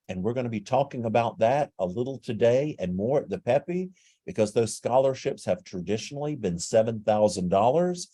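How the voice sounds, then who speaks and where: A man with a stuffy, nasal voice presenting a webinar and explaining things in a steady way over a video call. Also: fairly low pitch, no echo.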